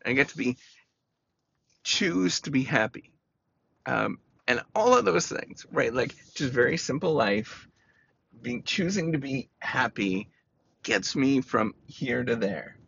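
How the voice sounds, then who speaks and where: A man talking through his feelings in a rambling personal monologue, full of fillers, with a voice he calls squeaky. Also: sing-song, takes it slowly, creaky, fairly bright tone, muffled recording, a little hiss or hum. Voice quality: says he has a squeaky voice. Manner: rambling, self-reassuring, many "you know" fillers.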